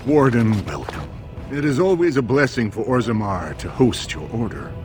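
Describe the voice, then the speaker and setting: An actor playing a formal, scheming dwarf royal advisor in a fantasy video game, speaking in measured, diplomatic phrases. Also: male voice, mid-range voice, big pitch swings, over music, natural voice. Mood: courtly, measured.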